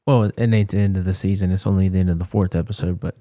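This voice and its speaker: A man's voice: sits low, steady tone, fast, warm tone. A man talking casually as a co-host on a podcast. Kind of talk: casual chat.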